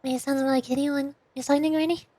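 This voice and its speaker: A woman's voice: high-pitched, fairly even intonation, a little fast, warm tone, no echo. A woman streaming a video game and chatting casually through gameplay commentary. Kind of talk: casual gaming livestream commentary.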